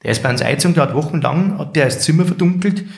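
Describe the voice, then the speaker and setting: A man's voice: a little high, lively intonation, very fast, gravelly. A middle-aged man with a Bavarian accent, a guest in an interview, earnest and reflective, telling anecdotes. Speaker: managing director of a care home, guest. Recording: echoey room.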